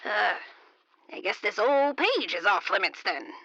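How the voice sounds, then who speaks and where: An actress playing a genteel, cheeky, dotty elderly romance novelist in a comedic audio drama. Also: female voice, mid-range voice, lively intonation, a little slow, pushed and bright, husky, no echo, muffled recording, full character voice.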